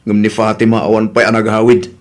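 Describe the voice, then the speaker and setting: A man's voice: on the low side, monotone, fast talker, close to an everyday voice. A man with an Ilocano accent acting in an audio drama as a hurt, weary but resolute husband and father. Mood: serious, weary. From Philippines.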